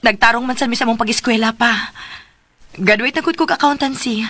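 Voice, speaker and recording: A woman's voice: a little high, a little fast, fairly bright tone, dry recording. An actress playing an earnest, defensive young woman fresh from college, in a radio drama. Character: earnest young female accountancy graduate defending herself.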